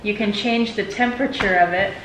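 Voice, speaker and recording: A woman's voice: medium pitch, brisk. A woman hosting a home vlog, chatting casually as gifts are opened. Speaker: vlog host. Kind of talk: casual chat while opening gifts. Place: indoors at home, roomy space. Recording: roomy echo, noticeable background noise.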